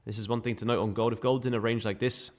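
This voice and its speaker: A man with a sore, croaky throat, casually walking viewers through live trading charts. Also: lowish voice, little pitch movement, fast talker. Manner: casual, chatty explaining to viewers. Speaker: day trading streamer.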